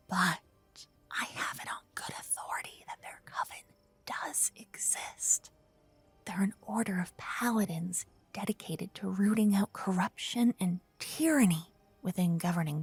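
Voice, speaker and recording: Woman acting as a shy sweet paladin girl, soft breathy mellow voice, takes her time, fantasy asmr roleplay. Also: medium pitch, no echo, full character voice. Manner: soft, breathy, gently flustered, slightly halting. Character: earnest, bashful young female paladin, affectionate fantasy adventurer. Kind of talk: scripted ASMR roleplay addressed to the listener.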